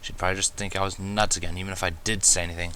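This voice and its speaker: A man reading fan fiction aloud as an expressive first-person narrator, with animated, self-mocking asides. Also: low voice, rapid-fire, a little hiss or hum.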